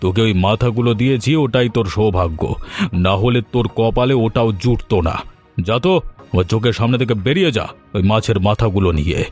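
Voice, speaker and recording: A man's voice: lowish voice, fairly quick, darkish tone, soft background music, close to an everyday voice. A man voicing a bossy, stingy fish merchant in a cartoon, commanding and patronising. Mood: dismissive, scornful. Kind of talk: cartoon voice acting in Bengali village story.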